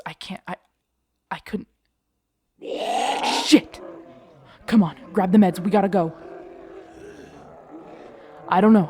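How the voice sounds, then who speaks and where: A woman playing a protective, guarded young survivor of a zombie apocalypse in an intimate audio roleplay, with hushed, urgent, halting delivery. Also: mid-range voice, lightly acted. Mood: anxious, pleading, then panicked urgency.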